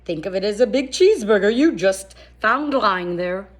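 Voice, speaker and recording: A sly storybook wolf, as a woman reader plays him, swinging widely up and down in pitch. He protests his innocence in a chatty, folksy, exaggerated way, indignant and eager to justify himself to young listeners. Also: animated, normal speed, close to an everyday voice. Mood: folksy, mock innocent. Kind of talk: animated children's storybook read-aloud.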